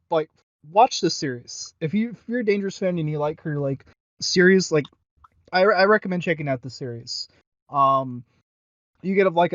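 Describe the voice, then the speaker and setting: Higher-voiced man, dry lo-fi podcast sound. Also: fairly bright tone, no room sound. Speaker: podcast host. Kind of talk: casual review chat. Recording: dry but dull lo-fi audio.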